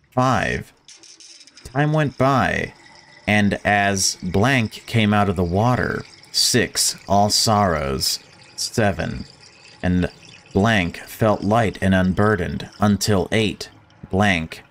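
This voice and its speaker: A man's voice: lowish voice, expressive, very slow, slight background noise. A man reading visual novel story text aloud and adding casual commentary as a gaming video creator.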